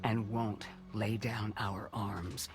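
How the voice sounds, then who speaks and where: A man acting a grave, solemn resistance leader in a video game cutscene, speaking deliberately. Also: lowish voice, little pitch movement. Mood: solemn resolve. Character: grave male leader of an armed resistance group. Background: background music.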